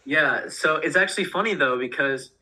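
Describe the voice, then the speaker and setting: A man's voice: on the high side, moderate pace, bright, forceful tone, husky, low-quality recording. A young man from the United States, a college student guesting on a podcast, talking in an easygoing, hesitant way with many fillers. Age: young adult. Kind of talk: casual podcast chat. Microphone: remote call.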